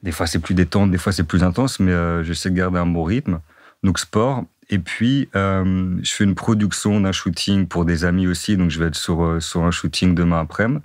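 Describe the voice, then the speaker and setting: A young man from northern France with a deep, low voice talks through an interview in a loose, easygoing way. He repeats himself and leans on fillers. The sound is dry and close. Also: fairly even intonation, normal speed, a little mellow, no room sound. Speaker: dating-profile guest. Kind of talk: casual interview chat.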